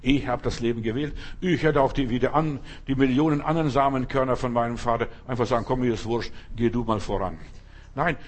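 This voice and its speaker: A man's voice: medium pitch, steady tone, brisk. A man preaching a sermon, speaking emphatically in repeated refrains and addressing the listener directly.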